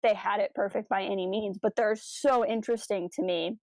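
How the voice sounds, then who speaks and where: A woman's voice: mid-range voice, fairly even intonation, a little fast, darkish tone, poor audio. A woman co-hosting a podcast, talking casually in an earnest, reflective and analytical way. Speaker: podcast co-host.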